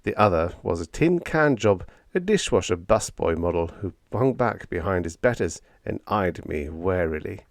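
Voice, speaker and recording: Deep voiced guy narrating an audiobook, slow but really expressive, dry close sound. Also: no echo. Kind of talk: dramatic scripted story narration.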